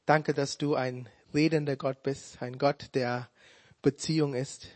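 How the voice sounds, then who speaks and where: A man whose first language is Tamil, preaching a sermon in a slow, deliberate way with touches of humor. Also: medium pitch, fairly even intonation, a little mellow, no echo.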